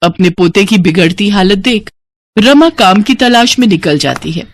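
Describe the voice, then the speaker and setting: A man's voice: sits high, animated, moderate pace, a little hiss or hum. A man narrating a scripted moral story for a cartoon.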